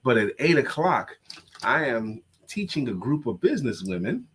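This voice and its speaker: A man's voice: medium pitch, a bit bright, husky. A congested man co-hosting a casual live-stream chat, joking and self-deprecating. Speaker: co-host and coach.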